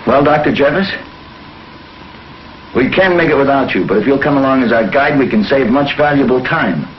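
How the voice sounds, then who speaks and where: An actor playing an earnest, commanding space hero with an authoritative manner in a 1950s television serial. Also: male voice, medium pitch, lively intonation, moderate pace, slight background noise, lightly acted. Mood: earnest, urgent. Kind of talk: 1950s television science fiction acting. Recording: echoey, muffled old TV soundtrack, faint music.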